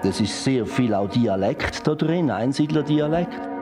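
A man with a Swiss German accent giving his opinion in a measured, disapproving manner. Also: medium pitch, expressive. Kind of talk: spoken opinion.

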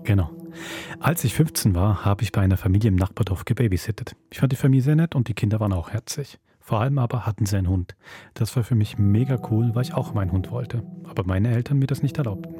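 A man hosting a horror podcast, telling a scripted story in a measured, suspense-building way. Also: lowish voice, dark tone, husky. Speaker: podcast host and producer.

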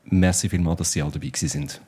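A man with a Swiss German accent hosting a live talk, prompting his guests in a relaxed way. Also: deep voice, very fast, very noisy. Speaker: host.

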